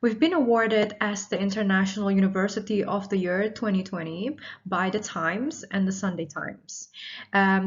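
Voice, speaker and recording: Woman lecturer with slightly dull audio. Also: mid-range voice. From Indonesia. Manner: measured, explanatory delivery. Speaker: university lecturer. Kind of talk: recorded online lecture.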